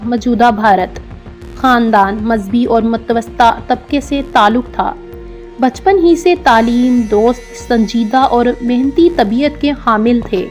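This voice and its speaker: A woman reads a scripted documentary narration in a formal, measured manner. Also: fairly high pitch, darkish tone. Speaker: documentary narrator and channel presenter.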